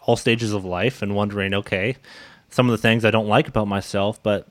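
A man hosting an interview in a casual, informal and halting way, feeling out his questions as he goes. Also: lowish voice, fairly even intonation, no room sound. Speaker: podcast host, interviewer.

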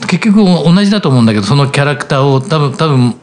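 A middle-aged man, a voice actor hosting, chatting about writing and acting in a relaxed storytelling way. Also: on the high side, background noise. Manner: relaxed storytelling, drawn-out reflective asides. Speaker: voice actor and podcast host. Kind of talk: casual chat.

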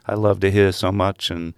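An older man who grew up in England, a podcast guest talking easily about his life in a relaxed, rambling way. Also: deep voice, a little slow, gravelly, clear, resonant tone, no room sound, clean studio sound. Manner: easygoing, rambling. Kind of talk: casual conversation.

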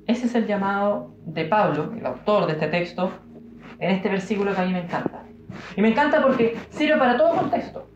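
A young man with a Chilean accent giving an informal devotional talk, musing aloud with pauses and fillers. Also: high voice, music in the background. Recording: roomy, dull-sounding room recording.

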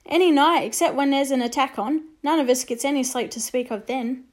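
A woman voicing a cheerful young male army orderly with brisk, chirpy soldier banter. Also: sits high, natural voice.

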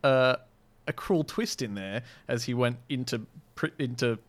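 A man's voice: medium pitch, a little slow. A man hosting a podcast, reading his own sci-fi story in a mock-dramatic, self-amused way and chatting casually. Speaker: podcast host and amateur story writer.

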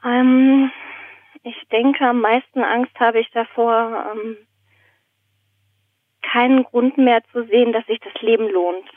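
A woman's voice: fairly high pitch, fairly even intonation, slow, pauses often. A woman from Germany chatting casually as a podcast guest over a phone line, in a hesitant, thoughtful manner. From Germany, born in Berlin, raised in southern Germany. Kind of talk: casual conversation, telling anecdotes and a joke. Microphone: phone call. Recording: thin, narrow telephone line.

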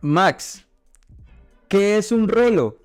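Slow-talking man hosting a chat. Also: high-pitched, lively intonation, smooth, no room sound, faint music behind. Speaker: interview host. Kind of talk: casual bilingual podcast interview chat.

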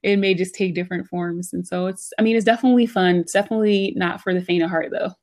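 A woman's voice: on the low side, steady tone, fast talker, a little mellow. An American woman talking as an interview guest in a casual, chatty, informal way, often saying "like" and "you know". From United States, Philadelphia area. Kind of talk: casual conversational storytelling.

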